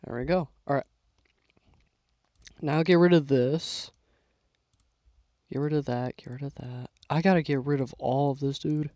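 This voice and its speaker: A young man giving casual, self-talking commentary while building with LEGO, losing his voice and clearing his throat now and then. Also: mid-range voice, unhurried, long pauses, no echo, dull audio. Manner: relaxed, mutters to himself while building.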